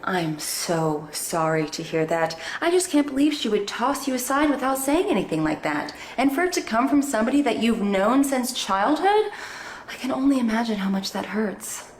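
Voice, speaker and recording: A woman playing a sweet, flattering female hairstylist in a comedy skit, earnest and soothing. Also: a little high, normal speed, roomy sound. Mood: sympathetic.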